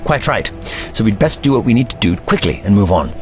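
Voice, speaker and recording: A man's voice: lowish voice, big pitch swings, brisk, faint music behind. A man voicing a haughty, eccentric time-traveling scientist in an audio drama, pompous and lecturing.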